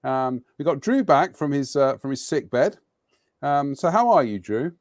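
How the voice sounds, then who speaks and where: A man's voice: mid-range voice, lively intonation, moderate pace, no echo. A man with a mid-range voice hosting a live stream, recorded close and dry with no room sound. He chats freely and wryly, offering frank opinions with a touch of humour. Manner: opinionated, wry, chatty. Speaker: host. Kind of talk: casual live-stream chat.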